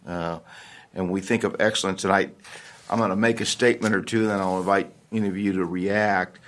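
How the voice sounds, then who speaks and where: Guy running a board meeting, lowish voice, kinda halting, restarts a lot. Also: steady tone. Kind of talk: formal meeting discussion.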